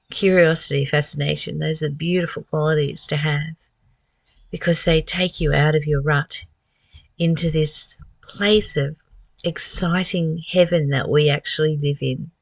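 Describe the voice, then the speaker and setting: A woman's voice: low voice, vocal fry, no echo. A woman giving a spiritual talk as a teacher, reading and reflecting with rhetorical questions.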